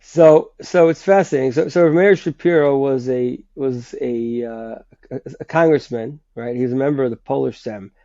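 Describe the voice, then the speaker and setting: Congested man with a gravelly voice. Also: medium pitch, moderate pace, dark tone, dry recording. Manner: hesitant, many restarts and fillers. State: congested with a sinus infection. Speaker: guest writer. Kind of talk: conversational history explanation. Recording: dull, lo-fi sound.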